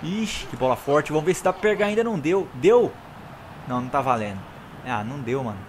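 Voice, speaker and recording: A young Brazilian man, hoarse from recording, giving excited, slangy commentary over his own football video game match and talking straight to his viewers. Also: a little high, fast talker, slight background noise. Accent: Brazilian Portuguese. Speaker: gaming YouTuber. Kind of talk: live gameplay commentary.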